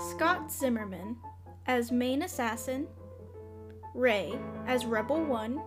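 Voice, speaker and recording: Female credits announcer, high voice, very unhurried scripted read over clear music. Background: prominent music.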